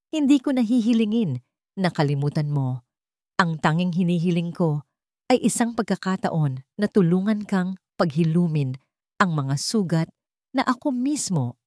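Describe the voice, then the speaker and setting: Woman voicing an earnest, gentle man in love. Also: on the low side, no room sound, studio quality.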